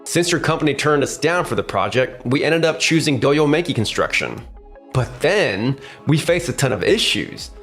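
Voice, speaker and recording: A man voicing an overconfident, pushy young real estate salesman in an animated manga dub style. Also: a little high, moderate pace. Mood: exasperated. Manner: cocky, persuasive, animated. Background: faint background music.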